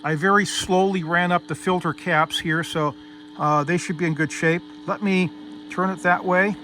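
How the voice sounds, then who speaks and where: Bright, higher-pitched man giving a hands-on demo. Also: fairly even intonation, moderate pace. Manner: methodical, step-by-step explaining. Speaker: host demonstrating vintage radio gear. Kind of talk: casual hands-on technical demonstration.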